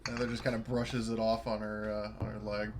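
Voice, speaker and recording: A man's voice: lowish voice, completely flat delivery, brisk. A man playing a tabletop roleplaying game, talking casually and reacting to the action. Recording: loud noise and clicks on mic.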